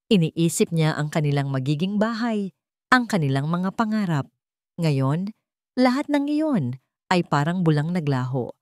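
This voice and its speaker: A woman narrates a romantic drama in a lowish voice, solemn and full of feeling. She phrases deliberately, like a storyteller, and the recording is dry and close. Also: sing-song, no echo.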